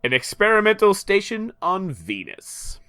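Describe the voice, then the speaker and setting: A man co-hosting a comedy podcast, reading a comic aloud in a slow, theatrical way between banter. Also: high voice, huge pitch swings, sharp tone, clean tone, dry recording, studio quality.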